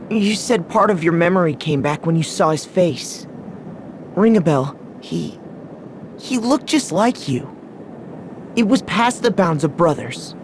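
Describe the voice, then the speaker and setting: An actor playing a gentle, concerned young man in a video game, speaking earnestly and carefully. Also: lively intonation, takes it slowly, pauses often. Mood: probing, hushed. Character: gentle, concerned young male companion, sincere and probing. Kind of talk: English video game voice acting. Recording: clear rumbling noise behind voice.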